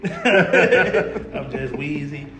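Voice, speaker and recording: A man's voice: on the high side, lively intonation, drawn-out words, reverberant, soft background music. A young man hosting a casual car podcast, talking in easy slang, repeating words and laughing often. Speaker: podcast host, local car photographer. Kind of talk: casual chat.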